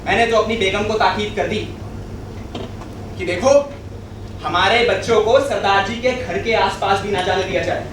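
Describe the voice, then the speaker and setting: A man's voice: sits high, steady tone, normal speed, pauses often, lightly acted. Echoey actor as an indignant male clerk narrator. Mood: recounting. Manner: dramatic storytelling with emphatic repeated phrases. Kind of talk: theatrical stage monologue. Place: theatre stage in an auditorium. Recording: echoey auditorium, distant noisy mic.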